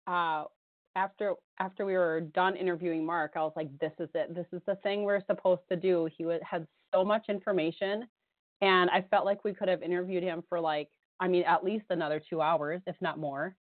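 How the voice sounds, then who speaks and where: A woman co-hosting a radio talk show, hoarse with a cold, chatting casually and rambling, often laughing. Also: fairly low pitch, steady tone, moderate pace, dry recording. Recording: tinny phone-line sound.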